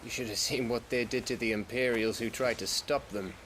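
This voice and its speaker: Guy acting a wry rough-edged game scout, folksy and chatty, noisy ambience behind him. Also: mid-range voice, steady tone, a little fast, fairly bright tone, close to an everyday voice. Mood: earnest, informative. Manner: colloquial, folksy storytelling. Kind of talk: video game cutscene acting. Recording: clearly noisy background ambience. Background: ambient noise.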